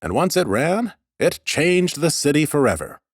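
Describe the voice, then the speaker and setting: A man voicing an ancient lich scholar in an audiobook, wry, measured and pedantic. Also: a little high, very animated, no room sound, studio quality, pitched above the performer's own voice. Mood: explaining, polite. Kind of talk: character acting in an audiobook.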